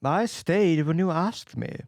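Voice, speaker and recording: A man reading an audiobook, voicing a witty, cynical aristocratic gentleman with a lofty, assured manner. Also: a little high, lively intonation, takes it slowly, dry recording, clean studio sound, pitched above the performer's own voice. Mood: cool.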